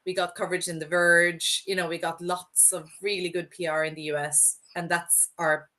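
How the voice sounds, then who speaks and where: A woman with a lowish voice speaks as a guest on a podcast. She is unwell and her voice is failing. She keeps it informal, telling things as casual anecdotes. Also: level tone, normal speed, pushed and bright. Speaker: podcast guest, startup founder. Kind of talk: casual interview talk.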